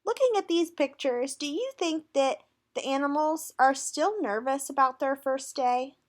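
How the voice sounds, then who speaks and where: A woman's voice: high voice, measured pace. A woman leading an interactive children's read-aloud, instructional, putting questions to young listeners. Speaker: teacher or tutor reading aloud.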